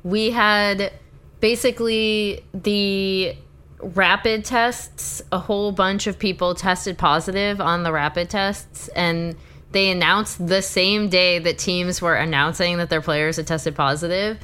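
A woman co-hosting a podcast from her apartment, sick, with her voice cracking and deeper than usual, chatting in a relaxed way. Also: slow, smooth. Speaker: podcast co-host. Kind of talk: casual podcast chat.

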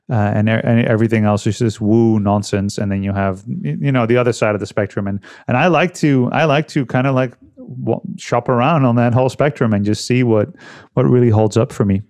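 A man's voice: medium pitch, a little fast, darkish tone. A man from the Netherlands, speaking with a Dutch-tinged American accent, chatting loosely in a conversation with lots of restarts and fillers. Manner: rambling, many restarts and fillers. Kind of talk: casual conversation.

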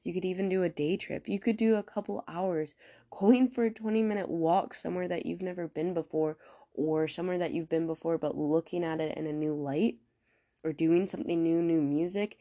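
A woman hosting a podcast alone, a bit stuffed up from a cold, talking slowly and earnestly with some rambling. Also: on the low side, dark tone. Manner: earnest, encouraging, a little rambling; deliberately slow, careful pronunciation. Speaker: podcast host. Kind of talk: casual solo podcast monologue.

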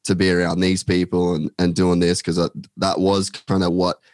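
Deep-voiced man, casual rambling chat, many fillers, dry close sound. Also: level tone, normal speed, a little mellow, no room sound. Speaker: podcast guest.

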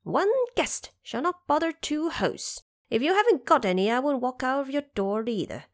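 A brash monkey king acted out by a woman in an audio drama. The voice sits high and leaps around with mischief, always demanding and sure of itself. The sound is close and dry. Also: expressive, normal speed, dry recording. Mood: cheeky insistence. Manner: brash, insistent, bargaining.

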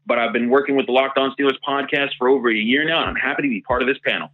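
Brisk man from Pittsburgh. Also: mid-range voice, narrow, tinny audio. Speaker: NFL analyst and lawyer.

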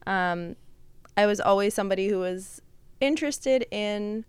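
A woman's voice: medium pitch, a little slow, smooth, no room sound. A young adult woman, a podcast guest, telling her story in casual chat, full of "like" and "um". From Russia. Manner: chatty, many fillers like "like" and "um", laughs often.

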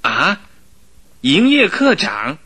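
An actor in a Mandarin radio drama playing a modest young accounts clerk, hesitant and easily astonished. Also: male voice, sits high, wildly swooping pitch, extremely slow, pushed and bright, husky, heavily acted. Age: young adult.

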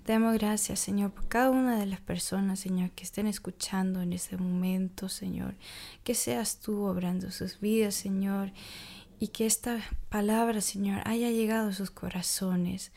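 A woman co-hosting a podcast, leading a spoken prayer with soft, repeated invocations. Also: mid-range voice, steady tone, normal speed. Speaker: podcast co-host.

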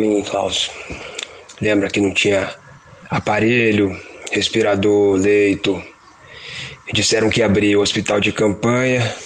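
A low-pitched, resigned old Brazilian man from Rio voiced by a male actor, playing a gentle out-of-work Santa. He talks colloquially, as in a radio play, over faint noise. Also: natural voice, low-quality recording. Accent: Brazilian, Rio de Janeiro. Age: older. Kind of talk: conversational audio drama.

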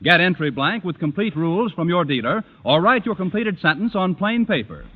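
A man's voice: sits high, lively intonation, fairly quick, slightly rough. A man with an American accent announcing and reading a scripted advertisement in 1940s radio style. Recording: reverberant, tinny old radio recording.

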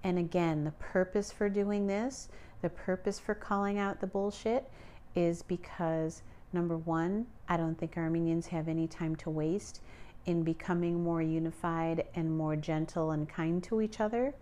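A woman's voice: on the low side, steady tone, unhurried, warm tone. A woman hosting a solo podcast, talking earnestly and pleading with her listeners to be kind to each other. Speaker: podcast host.

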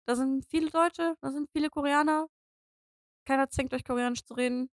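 A young native German woman co-hosting a casual podcast, rambling cheerfully with plenty of fillers and frequent laughs. Her voice sits a little high, and the recording is close and dry with no room around it. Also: steady tone, a little fast, dry recording. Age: 20s. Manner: chatty, many fillers, laughs often. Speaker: podcast co-host.

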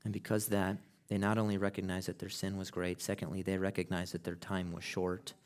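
Low-voiced man preaching a sermon. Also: monotone, fairly quick. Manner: builds from conversational to loud impassioned preaching; acts out quoted people in casual voices. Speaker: pastor. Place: church sanctuary.

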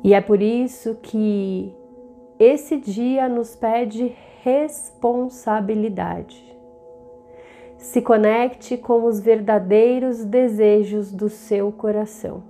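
A woman with a Brazilian accent narrating a guided meditation in a soothing, deliberate manner, in short measured phrases. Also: fairly high pitch, steady tone, mellow. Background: meditative background music.

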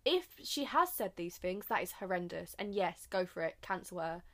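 A young woman co-hosting a podcast, chatting quickly and tripping over her words, sitting close to the microphone. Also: on the low side, lively intonation, fairly bright tone. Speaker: podcast co-host. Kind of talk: casual podcast chat. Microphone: sitting close to an uncovered mic.

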